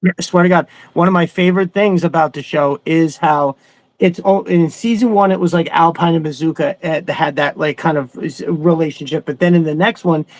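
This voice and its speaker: A man's voice: on the high side, steady tone, fairly quick, no room sound. A man chatting casually as a podcast co-host, enthusiastic and rambling, with some swearing thrown in. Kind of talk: casual chat about cartoons.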